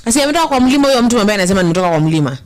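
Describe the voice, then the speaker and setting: A woman's voice: medium pitch, racing. A woman with a Kenyan accent in a casual debate, pushing back with questions and murmuring agreement. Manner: gives steady murmured agreement, challenges with questions.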